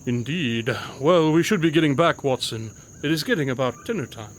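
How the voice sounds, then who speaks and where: A shrewd, commanding male detective, played by an actor in a radio play. His voice sits fairly high for a man, and his diction is formal and precise. Night insects and background noise are clearly audible behind him. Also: expressive, moderate pace, lightly acted. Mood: guarded. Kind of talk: audio drama acting.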